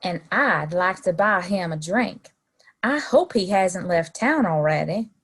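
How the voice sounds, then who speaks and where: A soft-spoken American townswoman in a Western audio play, acted by a woman. Her voice is slightly low and husky. She speaks slowly, with a grateful air. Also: lively intonation, exaggerated voice.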